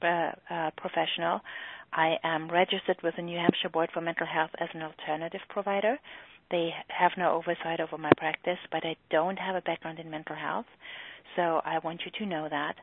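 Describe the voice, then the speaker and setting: A middle-aged woman with a German accent talks earnestly, often halting on fillers as she explains her work. Her voice sits fairly low, and the sound comes through dry and thin, like a phone line. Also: no echo. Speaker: EFT practitioner and trainer. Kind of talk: talk on a recorded group call. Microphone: phone or call line. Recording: tinny phone-line call recording.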